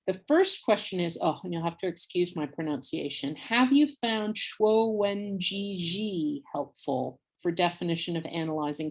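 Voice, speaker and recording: A woman moderating a panel discussion, asking inquisitive questions and reading out audience questions. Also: lowish voice.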